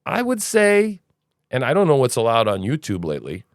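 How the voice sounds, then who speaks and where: A man's voice: medium pitch, wildly swooping pitch, fairly quick, no room sound, studio quality. A man hosting a casual news commentary podcast, rambling and opinionated, with sarcastic asides. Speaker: podcast host.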